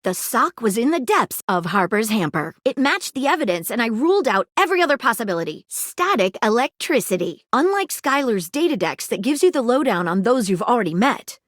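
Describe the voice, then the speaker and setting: A woman's voice: on the high side, sing-song, a little fast, few pauses, a bit bright, no echo, clean studio sound. A woman playing a curious, precise female detective in a video game, articulate and inquisitive. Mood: sleuthing, confident. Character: living magnifying glass detective woman, keen, curious, precise, flirtable. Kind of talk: video game character acting.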